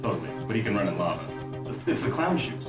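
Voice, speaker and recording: A man giving casual developer commentary over gameplay, agreeable and quick to laugh, often echoing his partner. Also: deep voice, sing-song. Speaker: game developer. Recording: roomy, distant mic, game music behind.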